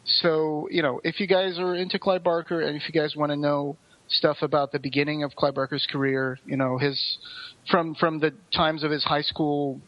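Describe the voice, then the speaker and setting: A man with a fairly high voice talks casually as a podcast co-host. He explains things in detail and at length. The recording is somewhat dull and low in fidelity. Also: flat delivery, moderate pace.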